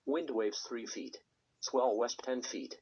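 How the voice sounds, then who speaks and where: A synthetic text-to-speech male voice reading a scripted weather radio forecast in a measured, impersonal way. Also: mid-range voice, husky. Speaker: automated weather radio announcer. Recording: dull lo-fi weather radio recording.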